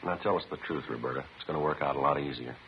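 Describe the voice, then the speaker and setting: Very deep, rapid-fire police detective. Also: male voice, gravelly. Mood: deadpan, probing. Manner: clipped, deadpan, matter-of-fact. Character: terse, methodical male police detective interrogating a suspect. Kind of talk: 1950s radio crime drama acting. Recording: muffled, noisy old 1950s radio recording.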